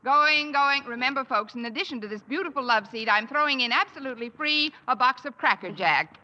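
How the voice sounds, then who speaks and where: A quick-witted schoolteacher running an auction in an old radio comedy. Her voice is fairly high for a woman and very bright and forceful, with a dry, wisecracking delivery. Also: animated, lo-fi sound, heavily acted. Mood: brisk selling. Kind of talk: 1950s radio sitcom acting. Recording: vintage 1950 radio broadcast.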